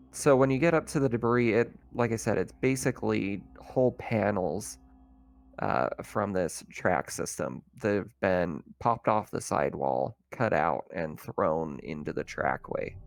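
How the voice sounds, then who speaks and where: A man's voice: on the low side, expressive, a little slow, vocal fry. A man running a tabletop roleplaying game as game master, describing scenes in a casual, improvised, conversational way. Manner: casual, conversational, thinking out loud.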